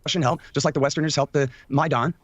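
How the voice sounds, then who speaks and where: Male debate guest from Texas: rapid, insistent delivery on a dull, dry, lo-fi feed. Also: fairly high pitch, steady tone. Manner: rapid-fire, insistent, talks over others.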